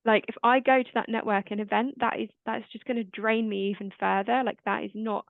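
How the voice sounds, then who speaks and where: A woman hosting a casual chat in a naturally quiet, calming voice. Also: fairly high pitch, a little fast, vocal fry, dry recording. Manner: quiet, calm voice. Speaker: host. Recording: dry, tinny phone-like line.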